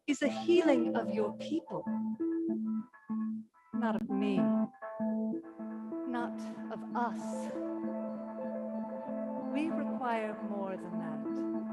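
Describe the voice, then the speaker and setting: A woman playing the Earth as a weary, reproachful ancient mother in a staged play reading, speaking solemnly and deliberately with wry asides. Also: fairly high pitch, full character voice. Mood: solemn warning. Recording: music behind the voice. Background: plucked guitar music.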